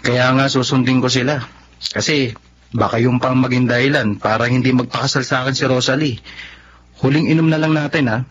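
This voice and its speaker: An actor playing an apologetic, weak-willed young boyfriend in a radio drama, pleading and sheepish. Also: male voice, mid-range voice, fairly even intonation, brisk, fairly bright tone, breathy edge, lo-fi sound. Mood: casual, confiding. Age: young adult.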